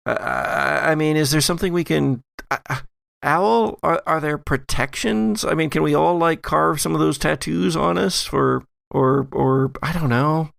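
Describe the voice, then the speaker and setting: A man's voice: a little high, measured pace, creaky, fairly bright tone, no room sound, clean studio sound, voice pushed up, exaggerated voice. Man acting flustered, stammering Allison. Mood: anxious, flustered.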